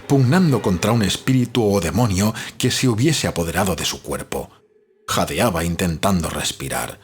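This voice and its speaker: Low-voiced man narrating dramatically. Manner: measured, dramatic storytelling delivery. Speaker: audiobook narrator. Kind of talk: scripted literary narration. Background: background music.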